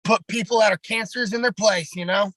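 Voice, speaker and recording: A man with a raspy voice, a pro wrestler talking bluntly and at length in a casual podcast chat, heavy on fillers. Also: high voice, fairly even intonation, brisk, bright, forceful tone, dry recording, poor audio.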